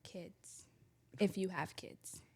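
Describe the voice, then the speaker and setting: A soft-spoken young woman chatting casually on a podcast, hesitant, full of fillers and trailing off quietly. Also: fairly low pitch, slow pace, vocal fry, slightly rough, no echo. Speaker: podcast co-host. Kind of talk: casual chat. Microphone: close studio mic.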